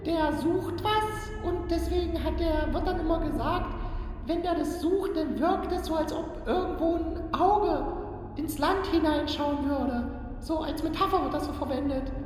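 A woman playing an exasperated, nagging but doting mother of a dark lord in a comedy audio sketch. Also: sits high, a little slow. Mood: patiently explaining. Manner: scolding, impatient, explaining as to a child. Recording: cavernous echoing hall with noise.

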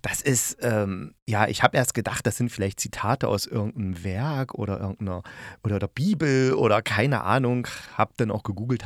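A man's voice: lowish voice, dry recording, studio quality. A man hosting a podcast, reviewing in a casual, loose and meandering way as if thinking aloud. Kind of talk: casual spoken radio-play review.

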